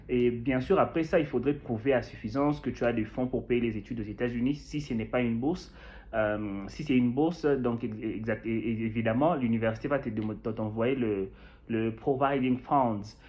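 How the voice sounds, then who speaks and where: A man's voice: medium pitch, a little hiss or hum. A young man from Kinshasa with a Congolese French accent, chatting to camera about his experience, with many fillers and restarts. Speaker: YouTuber sharing experience. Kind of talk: casual talk to camera. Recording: dull lo-fi sound.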